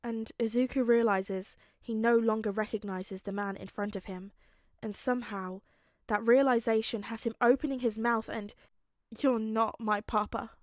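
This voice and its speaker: A woman narrates an audiobook in a highish voice. Her delivery is dramatic and emotive, with halting pauses for effect. The sound is very dry, with hardly any room on it. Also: fairly even intonation, a little mellow, dry recording. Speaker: fan fiction audiobook reader. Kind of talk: expressive scripted narration, reading a story aloud.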